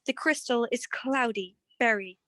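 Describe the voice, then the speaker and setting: Woman acting an imperious, high-voiced fortune-teller. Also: unhurried, sharp tone, no room sound, studio quality. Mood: imperious, ominous. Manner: commanding, portentous, formal. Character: imperious, mysterious fortune-teller madam, coldly ominous. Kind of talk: 1940s detective radio play acting.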